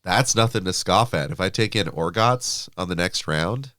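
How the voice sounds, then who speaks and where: Deep, smooth-voiced sniffly man chatting. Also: expressive, normal speed, sharp tone, no echo, clean studio sound. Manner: chatty, filler-heavy, laughs easily. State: sniffly from allergies. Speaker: podcast co-host. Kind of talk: casual chat about tabletop wargaming.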